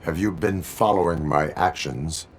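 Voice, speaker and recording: A grave cyborg police officer from a video game. The voice is deep, flat and robotic, with clipped, formal phrasing. Faint noise sits behind it. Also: male voice, unhurried. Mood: stern, matter-of-fact. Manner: clipped, formal, deadpan statements. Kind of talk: video game cutscene acting.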